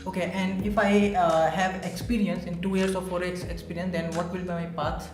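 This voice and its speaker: A man's voice: high voice, little pitch movement, normal speed, echoey room, soft background music. A man from India hosting a casual podcast interview, chatty and full of "so" and "you know". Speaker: podcast host.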